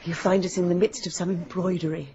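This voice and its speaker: An actress as a genteel, lowish-voiced church lady. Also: level tone, fast talker, warm tone, dull audio, close to an everyday voice. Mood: welcoming. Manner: genteel, polite, diplomatic. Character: gentle, gracious, tactful village church lady. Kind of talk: radio drama acting.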